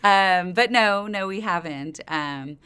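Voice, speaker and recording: A woman's voice: medium pitch, slow pace, sharp tone, clear, resonant tone. A middle-aged woman, a company co-founder, talking in an interview in an informal, rambling way that circles back mid-thought. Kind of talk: conversational interview storytelling.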